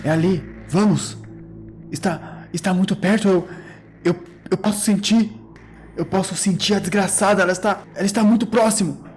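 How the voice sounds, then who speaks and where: A man's voice: high voice, normal speed, soft background music, voice pushed up, natural voice. A man voicing a driven, obsessed duke who speaks urgently and repeats himself. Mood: frantic, driven.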